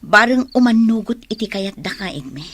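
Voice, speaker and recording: A woman's voice: medium pitch, lively intonation, normal speed, a bit bright, a little hiss or hum, natural voice. A woman with an Ilocano Filipino accent playing a scheming, commanding elder sister in a radio drama. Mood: hushed, scheming.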